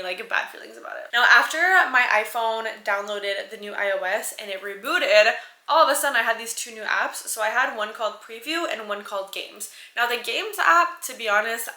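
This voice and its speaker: A woman's voice: mid-range voice, bright, forceful tone, roomy sound. A woman from Canada whose first language is French, chatting to camera as a vlogger in an enthusiastic, upbeat way. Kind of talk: casual chat to camera.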